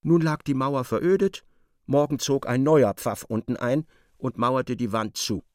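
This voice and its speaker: A man's voice: medium pitch, unhurried, darkish tone, no room sound, studio quality. A man narrating a literary radio play in a dramatic, scripted style.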